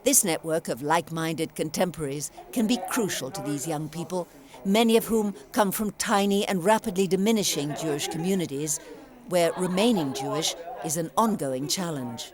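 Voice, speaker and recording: Lady news reporter, lowish voice, steady scripted read, crowd noise around. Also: normal speed.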